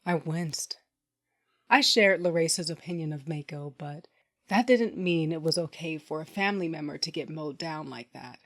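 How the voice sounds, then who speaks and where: A woman's voice: sits low, moderate pace, fairly bright tone. An actress playing a tough, sardonic underworld boss who narrates her own story bluntly in an audio drama. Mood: tough, wry. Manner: blunt, wry. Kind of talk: first-person dramatic narration and acting.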